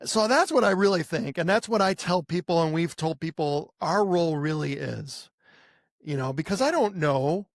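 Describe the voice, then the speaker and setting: Higher-pitched American man, casual podcast co-host. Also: expressive, normal speed, no room sound, studio quality. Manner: conversational, poses rhetorical questions and voices imagined clients. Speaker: podcast co-host, marketing agency owner.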